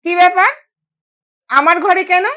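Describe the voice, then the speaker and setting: A woman's voice: extremely high pitch, a little slow, sharp tone, pitched above the performer's own voice, exaggerated voice. A woman voicing a strict, commanding older mother-in-law in an audio story, with dull recorded sound. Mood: stern. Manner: commanding, curt.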